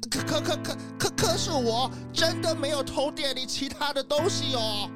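A woman's voice: high voice, normal speed, loud music behind the voice, natural voice. A woman voicing a timid, guilty old male goat who stammers, in a children's audio drama. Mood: sheepish, confessing. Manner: stammering, repeating words.

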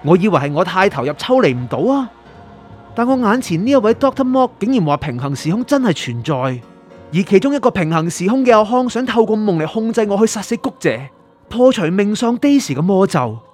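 A man's voice: sits high, big pitch swings, fairly quick, darkish tone. An actor with a Hong Kong Cantonese accent playing an anxious, curious male writer who narrates his story introspectively in a radio drama. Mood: brooding. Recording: music under the voice.

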